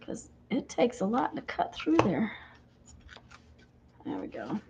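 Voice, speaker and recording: A woman hosting a craft livestream, chatting casually and greeting viewers by name as she works, her voice slightly croaky from a cold. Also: on the high side, wildly swooping pitch, slow pace, background noise. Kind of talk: casual chat while crafting.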